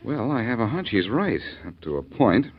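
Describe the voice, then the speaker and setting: A man's voice: mid-range voice, very animated, moderate pace. An actor playing a suave, wisecracking male detective in a 1940s radio drama, with dry, teasing quips. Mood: wry. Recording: muffled old radio broadcast.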